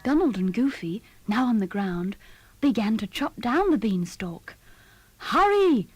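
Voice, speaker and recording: A woman narrating a children's read-along story in a breathy, whispery voice. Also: mid-range voice, expressive, unhurried, darkish tone, soft background music.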